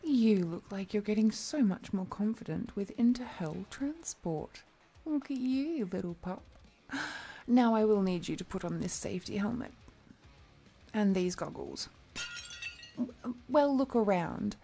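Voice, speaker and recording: An affectionate female demon played by a woman guides a mortal through hell in an intimate fantasy roleplay. Her voice is mid-pitched and mellow, and she speaks at an unhurried pace. She is playful and chatty, coaxing and protective, as if minding someone small in her care. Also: vocal fry, breathy edge. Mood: gentle, encouraging. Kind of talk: ad-libbed fantasy audio roleplay addressed to the listener.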